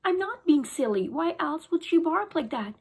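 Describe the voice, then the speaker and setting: A woman's voice: high voice, very animated, normal speed, voice pushed up. A woman voicing a touchy, stubborn young girl. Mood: upset.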